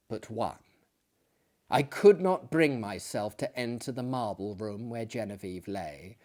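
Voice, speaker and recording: A man reading as a narrator in a dramatic audiobook style, theatrical, letting his phrases fall away to a hush. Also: medium pitch. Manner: theatrical, hushed phrase endings. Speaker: narrator and performer.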